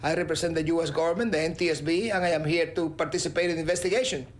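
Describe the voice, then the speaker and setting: An older man, an investigator recalling events in an interview, telling the story lively with quoted dialogue. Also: a little high, rapid-fire. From Cuba. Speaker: air crash investigator. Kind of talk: interview recollection.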